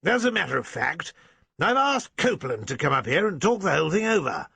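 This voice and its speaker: A man voicing a flippant, cheerful young gentleman in an audiobook reading. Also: a little high, very animated, brisk, creaky, breathy edge, no echo, pitched above the performer's own voice, exaggerated voice. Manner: light, dry, mocking.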